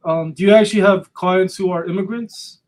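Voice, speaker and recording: A man's voice: high-pitched, fairly even intonation, fairly quick, darkish tone. A man hosting an interview podcast, asking questions in a conversational way. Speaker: podcast host, interviewer, attorney.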